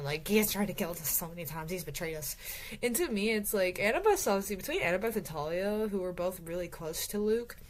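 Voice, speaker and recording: A woman talks through a book chapter by chapter in a loose, rambling way, full of fillers and restarts. Her voice sits a little low and sounds tired from talking so long. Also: a little hiss or hum. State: voice getting tired. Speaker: book reviewer. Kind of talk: casual book review recap.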